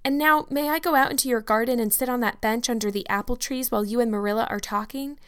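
A talkative, imaginative young girl from a storybook, earnest and dramatic in every line, played by a woman reading aloud. She speaks a bit higher than the reader's own voice. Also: fast talker, fairly bright tone, smooth, natural voice. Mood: hopeful.

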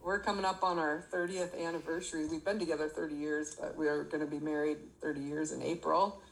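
A woman's voice: low voice, flat delivery, fast, creaky, a little hiss or hum, poor audio. A woman in her forties from Michigan, talking as a podcast guest in a chatty, self-deprecating way and laughing easily. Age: 40s. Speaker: guest athlete. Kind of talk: casual podcast interview.

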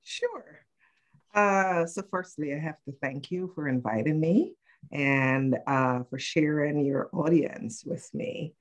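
Measured woman guest, many pauses. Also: sits low. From Guyana. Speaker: panel guest. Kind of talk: telling her life story in conversation.

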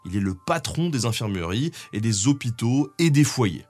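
Lively Frenchman explaining history conversationally. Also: mid-range voice, normal speed. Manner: conversational, peppered with "hein" tags. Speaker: history show co-presenter. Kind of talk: semi-scripted explanatory narration.

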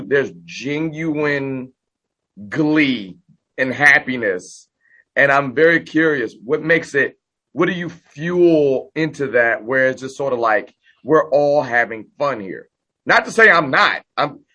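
A hoarse middle-aged man chatting casually as a podcast guest, talking with high energy. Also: bright, forceful tone.

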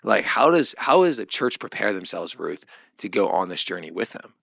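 A man with a lowish voice and plenty of vocal fry co-hosts a podcast in a dry recording. He talks casually and earnestly, working through his thoughts in halting, rambling phrases. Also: big pitch swings, fairly bright tone, dry recording. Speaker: podcast co-host. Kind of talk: casual religious discussion.

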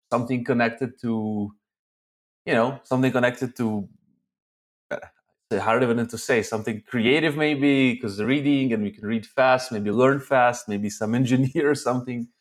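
A man from Ukraine raised in Israel, a podcast guest chatting casually and laughing often. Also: mid-range voice. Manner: relaxed, laughs often, says "man" a lot. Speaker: guest, online seller. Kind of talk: casual interview chat.

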